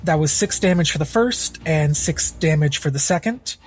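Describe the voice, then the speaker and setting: Halting male tabletop player over music. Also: on the high side, lively intonation, breathy edge. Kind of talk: describing character actions in third person. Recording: background music under the voice.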